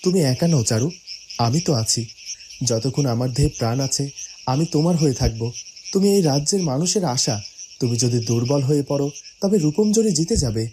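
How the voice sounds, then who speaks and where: A man's voice: mid-range voice, lively intonation, normal speed, background noise, close to an everyday voice. A man voicing a devoted, protective young prince in a fairytale cartoon, with music behind the voice. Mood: tender, reassuring.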